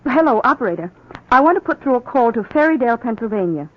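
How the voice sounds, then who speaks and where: A woman's voice: fairly high pitch, sing-song, fast talker. A woman playing a worried young wife who frets about her absent mother, in 1940s radio acting style on a hissy old radio recording. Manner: bright, fretful, insistent. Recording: muffled old radio recording with faint hiss.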